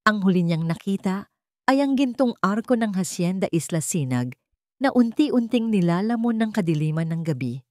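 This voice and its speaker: A woman's voice: lowish voice, darkish tone, dry recording. Woman narrating, close dry sound. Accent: Filipino. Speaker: audio drama narrator. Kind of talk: dramatic scripted story narration.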